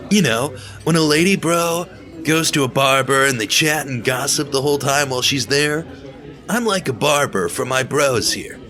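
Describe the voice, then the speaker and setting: An actor playing a friendly, talkative young bartender with a laid-back manner, in an audio drama with music underneath. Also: male voice, mid-range voice, normal speed, sharp tone, lightly acted. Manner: laid-back, talkative, says bro a lot. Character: friendly chatty male bartender who calls everyone bro.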